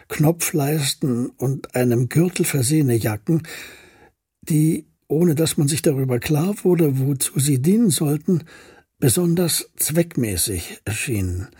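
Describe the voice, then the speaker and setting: An actor playing a reflective scholar retracing his past, recounting it with precise, unhurried melancholy in a literary radio drama. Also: fairly high pitch, dark tone, breathy edge, dry recording, clean studio sound, heavily acted.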